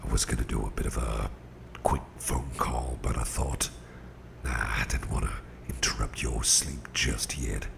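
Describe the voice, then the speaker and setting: A man playing a gruff but tender, protective boss in an ASMR roleplay, murmuring soothingly in a gravelly, breathy half-whisper. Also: very deep voice, fairly even intonation, heavily acted. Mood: tender, reassuring. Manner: soothing, unhurried, folksy, murmured. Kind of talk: ASMR roleplay, intimate personal attention.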